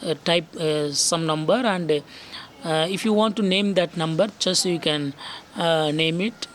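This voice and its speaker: Phone tutorial narrator, medium pitch, instructional and repetitive, recorded outdoors with noticeable background noise. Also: a little slow, fairly bright tone. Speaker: tech tutorial host.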